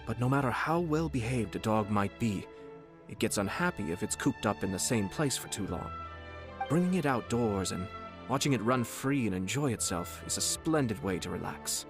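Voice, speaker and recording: Game role: courteous young aristocrat, composed and formal with a playful sly streak, lively intonation, clear music underneath. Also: male voice, on the low side, normal speed. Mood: amused, courteous. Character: refined, courteous young nobleman, sly and teasing. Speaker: video game character voice actor. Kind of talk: scripted game character voice lines. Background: background music.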